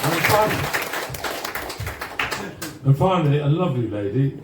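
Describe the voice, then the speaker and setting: A man's voice: fairly high pitch, big pitch swings, measured pace, distant mic, over a noisy crowd. A man hosting a live event on a bar stage, introducing performers in a jovial, chatty showman's way. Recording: echoey, noisy bar recording from off.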